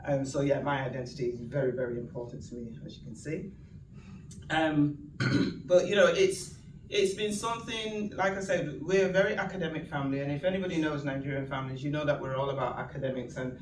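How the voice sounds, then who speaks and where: A woman's voice: low-pitched, a little fast, slight background noise. A woman in her fifties with a Mancunian accent, speaking as a guest at an event. She tells her story in a chatty, humorous way and laughs often. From Manchester, England. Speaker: guest speaker, community activist. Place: event venue with an audience. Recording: echoey room, distant mic, ambience.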